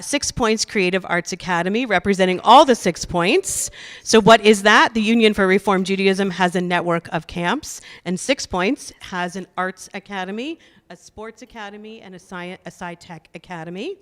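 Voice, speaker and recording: A woman's voice: mid-range voice, moderate pace, fairly bright tone. A woman welcoming guests and thanking helpers before a worship service, chatty and upbeat with frequent laughs. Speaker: congregation leader welcoming guests. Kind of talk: casual spoken welcome and thanks. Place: synagogue sanctuary. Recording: long hall reverb.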